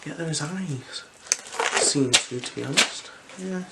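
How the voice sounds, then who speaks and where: A gentle, unhurried ASMR performer speaking softly and slipping into whispers while role-playing an eye examiner. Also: mid-range voice. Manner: gentle, unhurried, soothing instructions. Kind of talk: ASMR role-play, soft-spoken with whispers. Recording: clear background noise.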